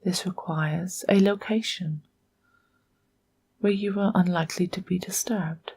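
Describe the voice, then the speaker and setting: Very slow, breathy woman guiding meditation. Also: lowish voice, fairly even intonation. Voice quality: soft, breathy, whispery. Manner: hushed, measured, with long pauses between short phrases. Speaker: guided meditation host. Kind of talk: slow guided meditation narration.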